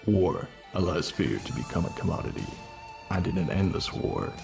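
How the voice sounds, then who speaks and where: Casting: solemn robot war leader, male actor, very low and gravelly, measured cartoon-epic delivery. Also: big pitch swings, over music, full character voice. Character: weary, noble robot war commander, solemn and reassuring. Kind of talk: dramatic first-person narration in a cartoon fan dub.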